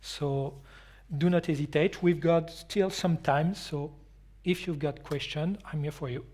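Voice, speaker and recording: A man presents at an industry expo and then takes audience questions. His voice sits a little high. He speaks English with non-native phrasing and stops to restart his sentences often. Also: fairly even intonation, unhurried, a little mellow. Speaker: company anti-counterfeiting presenter. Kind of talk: conference presentation and Q&A.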